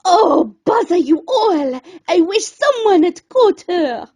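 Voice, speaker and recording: A woman voicing a loud, exclamatory angry male chef in a children's storybook. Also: extremely high pitch, sing-song, slow pace, heavily acted. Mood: dismayed. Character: angry male restaurant chef barking at his cooks.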